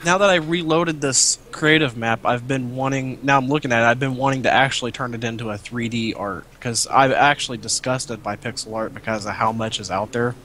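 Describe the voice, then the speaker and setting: A man's voice: mid-range voice, a little fast, hardly any pauses, a bit bright, slight background noise. A man talking casually as a guest on a gaming live stream, explaining things in a plain, matter-of-fact way. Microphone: cheap headset.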